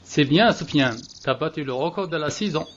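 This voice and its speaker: A man's voice: a little high, expressive, normal speed, lo-fi sound, noisy background, close to an everyday voice. A man playing a proud, encouraging sports coach in a children's cartoon. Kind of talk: children's educational cartoon dub.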